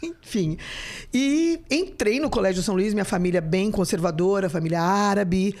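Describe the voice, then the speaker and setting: A woman in her fifties from São Paulo, Brazil, a guest telling stories with animated, joking energy in a casual podcast interview. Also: mid-range voice, normal speed. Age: 50s. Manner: chatty, animated storyteller, joking.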